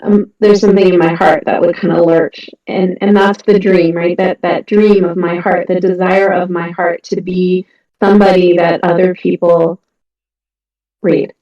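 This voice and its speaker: A woman's voice: lowish voice, little pitch movement, moderate pace. A middle-aged woman, an indie author, talking casually and earnestly about her writing, with frequent ums and restarts. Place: home, on a voice chat. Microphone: computer mic on a voice call. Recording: echoey, distant, muffled voice-chat audio.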